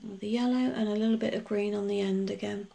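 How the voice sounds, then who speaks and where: A middle-aged woman chats through her nail art steps, wandering off into asides as she goes. Her voice is gruff and full of gravelly fry because she has only just got up. Her pitch sits in the middle. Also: steady tone, fairly quick, dark tone. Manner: chatty, rambling explanations with many asides. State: just woken up. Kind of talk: casual tutorial voice-over.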